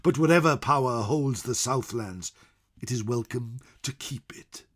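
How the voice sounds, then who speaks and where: Slow, husky man reading as a venerable scholar. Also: medium pitch, a bit bright. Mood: ominous, wary. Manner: grave, measured, portentous, with dramatic emphasis. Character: venerable old scholar recounting wary, wondrous tales of distant lands. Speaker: storyteller. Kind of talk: dramatic scripted fantasy narration.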